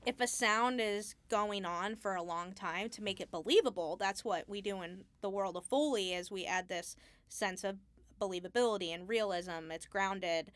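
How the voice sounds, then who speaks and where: Woman, smooth clear voice, mid pitch, casual podcast guest, thinking aloud, dry recording. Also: normal speed, no room sound. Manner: informal, unscripted, thinking aloud. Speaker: Foley artist, podcast guest. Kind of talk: casual interview chat.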